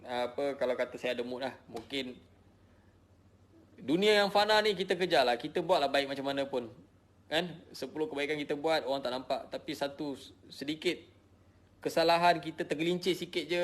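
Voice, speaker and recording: A man's voice: a little high, a little fast. A middle-aged man from Malaysia with a Malaysian Malay accent, tired, defensively explaining himself to followers and repeating his points. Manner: emotional, repetitive, defensive. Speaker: social media personality. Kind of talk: personal explanation to followers, casual.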